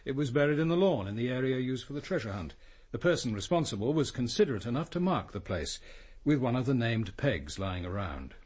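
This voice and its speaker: Husky, probing police inspector. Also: male voice, mid-range voice, sing-song, a little mellow. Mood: dryly pointed. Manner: measured, dry, pointed questioning. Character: cool, probing police inspector, dry and exacting. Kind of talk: radio drama acting.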